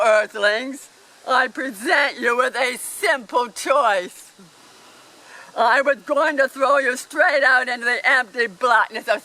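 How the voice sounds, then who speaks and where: A cruel, self-important alien captain acted by a man in a comic radio play. The voice is very high and bright, declaiming slowly and theatrically with pompous menace. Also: full character voice, rough recording. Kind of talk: amateur radio play comedy acting.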